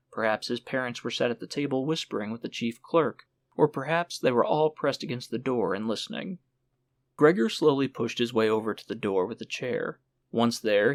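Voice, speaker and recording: A man narrating a literary audiobook in a measured storytelling manner. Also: mid-range voice, no echo.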